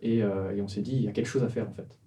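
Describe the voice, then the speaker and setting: A man's voice: fairly low pitch, fairly even intonation, rapid-fire, warm tone, distant mic. A man in his twenties from the Vendée, a startup cofounder telling the story of his company in an interview, with many fillers and restarts. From France, Vendée. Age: 20s. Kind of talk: casual interview answers.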